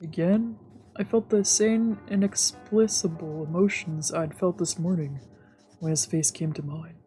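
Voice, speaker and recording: A woman's voice: on the low side, a little slow, rough recording. A woman streamer reading visual novel narration aloud for a let's play. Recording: soft game music behind.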